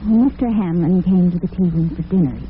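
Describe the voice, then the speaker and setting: A woman's voice: fairly low pitch, brisk, lightly acted. A woman playing a devoted, nervous and defensive young working daughter in an old-time radio drama. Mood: defensive, flustered. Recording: tinny, noisy vintage radio broadcast.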